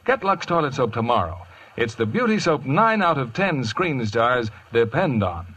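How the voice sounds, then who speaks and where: An American man working as a 1950s radio announcer, reading scripted copy with polished, measured enunciation. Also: medium pitch, big pitch swings. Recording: vintage radio broadcast with music. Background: music.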